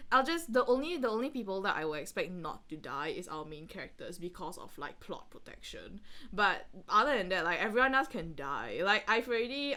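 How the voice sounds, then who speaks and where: A lowish-voiced woman co-hosts a casual reading podcast. Her delivery is rambling and giggly, and her pitch moves around in a very lively way. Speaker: podcast host. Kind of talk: casual reading commentary with a co-host.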